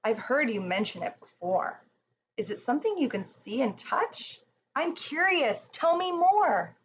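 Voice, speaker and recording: A woman's voice: sits high, animated, unhurried, voice pushed up. A woman voicing a friendly, curious female pet puppy in a read-aloud storybook. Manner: storybook character voice. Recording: muffled with faint background noise.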